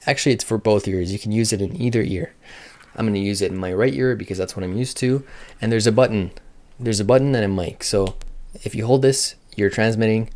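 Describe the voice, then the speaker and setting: A man with a fairly low voice talks through a product in a casual, unscripted way. He thinks aloud and keeps a matter-of-fact manner, like a reviewer working things out as he goes. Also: a little mellow.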